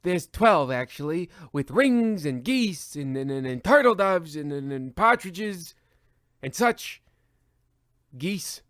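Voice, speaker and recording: A man acting an excitable schemer from an old-time radio parody. His voice sits high and swings widely as he declaims each line theatrically, recorded close and dry. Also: slow pace, dry recording, heavily acted. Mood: earnest, flustered. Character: excitable, scheming male tenement dweller at Christmas. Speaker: sketch performer and presenter of a comedy radio play.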